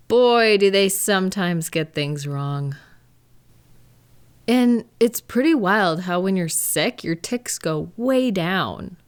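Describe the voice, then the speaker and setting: A woman playing a caring, reassuring live-in partner in an intimate audio roleplay, speaking gently and conversationally with thoughtful, hesitant pauses. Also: on the low side. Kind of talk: intimate one-sided comfort roleplay, conversational acting.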